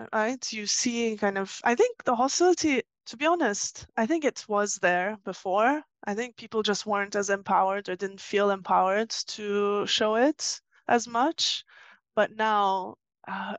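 Woman from turkey being interviewed, explains stuff conversationally, mid pitch, really dry sound. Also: measured pace, no echo. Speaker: interview guest, author and financial journalist.

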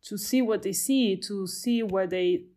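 Italian-accented young woman, academic, thoughtful, halting delivery with restarts. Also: medium pitch, mellow. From Italy. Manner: thoughtful, many fillers and restarts. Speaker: researcher. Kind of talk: reflective conversational talk.

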